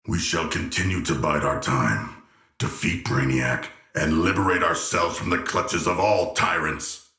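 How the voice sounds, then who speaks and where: An actor voicing a hulking humanoid shark villain in a video game, erudite and gentle yet proud, speaking in formal, measured, deadpan phrases. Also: male voice, sits low, reverberant, exaggerated voice. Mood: solemn, proud. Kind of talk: video game character voice lines.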